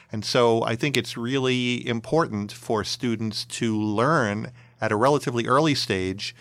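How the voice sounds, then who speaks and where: A man's voice: medium pitch, fairly bright tone, dry recording. A man giving an interview answer in a thoughtful way, repeating words as he works out his point.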